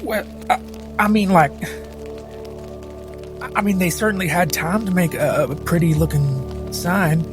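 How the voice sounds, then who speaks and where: A man roleplaying casually in a tabletop game, chatty, halting and tentative in how he talks. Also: sits high. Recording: music behind the voice.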